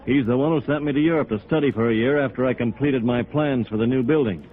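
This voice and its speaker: A man's voice: medium pitch, fast talker, a little hiss or hum, close to an everyday voice. Bitter outcast played by a man, tinny radio. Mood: bitter, resigned. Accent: American. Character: bitter, beaten-down male builder turned outcast. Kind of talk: 1950s radio drama acting. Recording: thin, tinny old radio recording.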